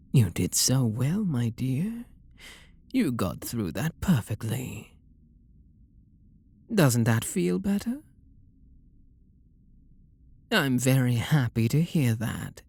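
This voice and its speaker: A man acts out a refined British vampire lord, tender and possessive toward a lover. The voice is husky and slow, with lively swoops in pitch as it slips toward whispers. It plays as intimate, seductive ASMR roleplay. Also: exaggerated voice. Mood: tender, coaxing. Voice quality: breathy, gravelly. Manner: soft, slow, seductive murmur with breathy whispers.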